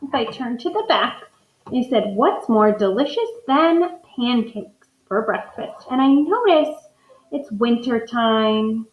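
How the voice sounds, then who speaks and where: A woman's voice: fairly high pitch, lively intonation, slow, roomy sound, highs cut off. A woman teacher doing a read-aloud lesson, thinking aloud as she models inferences from the pictures for her students.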